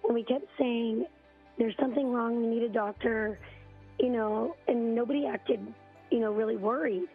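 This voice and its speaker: A hoarse mother in her thirties calling in to a radio show, telling her story haltingly with many ums and throat-clearing. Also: on the high side, steady tone, soft background music. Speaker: parent on a radio call. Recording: tinny phone line.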